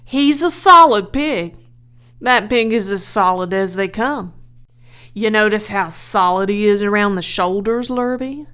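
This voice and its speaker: A woman's voice: mid-range voice, pushed and bright, smooth. Woman voicing a pompous, proud middle-aged male farmer. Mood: proud, important. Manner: pompous, deliberate, weighty.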